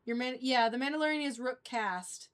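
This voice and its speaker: A woman hosting a livestream in a casual, rambling fan chat, halting and often trailing off mid-thought. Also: high-pitched, fairly bright tone. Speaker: livestream host.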